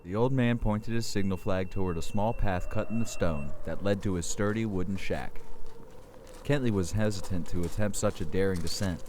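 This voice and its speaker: A man's voice: deep voice, moderate pace, a little mellow, a little hiss or hum. A man giving scripted narration for a ghost story in an audio drama.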